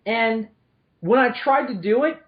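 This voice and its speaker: A young man from New York with a high-pitched voice, hosting a podcast and rambling through stories with lots of fillers and restarts. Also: expressive, unhurried. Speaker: podcast host. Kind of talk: casual chat. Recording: lo-fi audio.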